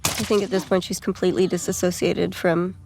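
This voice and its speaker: A woman's voice: on the low side, flat delivery, fairly quick, gravelly, noisy background. A woman giving casual, analytical commentary on a game, reflective and building her thoughts in repeated phrases.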